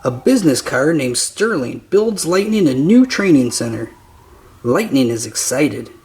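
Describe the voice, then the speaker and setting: Slow, lively male children's storybook reader. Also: on the high side. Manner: simple, deliberate phrasing for young listeners. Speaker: narrator of a children's read-aloud storybook.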